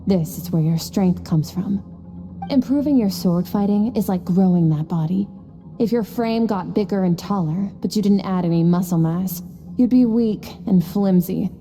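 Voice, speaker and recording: A woman playing a stern, aloof master swordswoman in a video game, lecturing in a cool, measured, terse manner. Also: mid-range voice, warm tone, big-room sound, faint music behind, natural voice. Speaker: sword instructor. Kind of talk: scripted video game voice acting.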